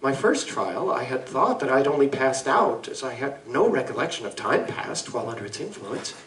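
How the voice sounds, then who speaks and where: A man playing an anguished Victorian gentleman scientist in a live audio drama, speaking formally, eloquently and deliberately. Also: mid-range voice, very animated. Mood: earnest, scholarly. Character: anguished gentleman scientist confessing to a monstrous alter ego. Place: live convention performance in a room. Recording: roomy live stage recording, distant mic.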